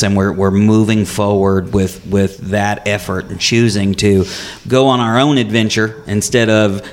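A man hosting a business radio interview in a chatty, animated way, with a fairly high speaking voice for a man. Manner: chatty, animated, frequent restarts. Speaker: radio show host. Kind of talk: casual interview.

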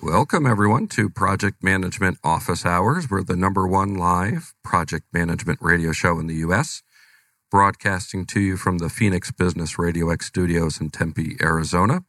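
A male radio host with a cold, talking in a relaxed, rambling way with many fillers in a studio. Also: low-pitched, moderate pace, no echo, faint music behind. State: has a cold. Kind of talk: casual radio talk.

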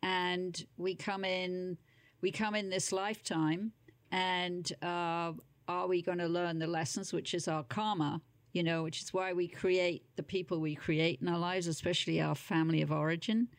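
A woman's voice: lowish voice, little pitch movement, moderate pace, dry recording. A woman speaking as an interview guest in a casual, reflective conversation.

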